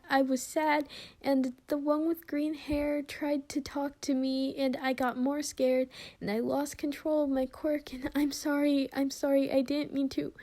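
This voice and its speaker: A woman's voice: sits high, warm tone, voice pushed up. A woman voicing a scared, sobbing little boy who stammers and pleads apologetically, in an amateur audio story. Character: frightened sobbing little boy with a dangerous power.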